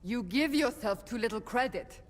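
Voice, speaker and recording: A woman's voice: a little high, lively intonation, normal speed. An actress playing a blunt, principled female warrior of a holy order in a game, firm and formal in manner. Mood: exasperated. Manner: firm, formal, matter-of-fact. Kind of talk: dramatic game voice acting. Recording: long reverb.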